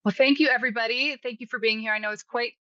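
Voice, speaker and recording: A woman's voice: a little high, steady tone, racing, fairly bright tone, no echo. A woman hosting an online class, chatty and a little apologetic as she moderates over a video call. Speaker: session host and instructor. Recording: muffled video-call audio.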